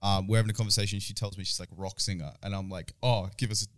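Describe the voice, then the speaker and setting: A man's voice: fairly low pitch, steady tone, rapid-fire. A young man in his twenties, casually telling a swear-filled story with a laugh now and then. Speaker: podcast co-host. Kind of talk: casual chat.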